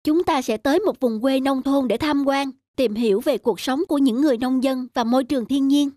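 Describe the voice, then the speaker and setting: A woman voicing a gentle, patient schoolteacher in a children's cartoon, speaking in a clear, instructive way. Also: sits high, brisk, no room sound, studio quality, close to an everyday voice. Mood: announcing. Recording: music behind the voice.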